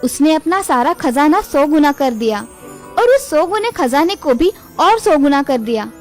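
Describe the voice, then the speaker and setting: A woman's voice: high-pitched, lively intonation, normal speed, soft background music. A woman reading a scripted moral tale for children as the narrator.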